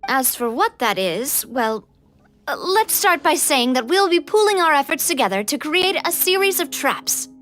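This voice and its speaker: A woman voicing a bubbly young leader in a game, playful and quick to laugh. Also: sits high. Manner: lively, playful, laughs often.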